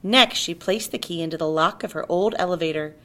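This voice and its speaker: A woman narrating a children's story aloud in an expressive storytelling style. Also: lowish voice, fairly quick. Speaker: storybook reader.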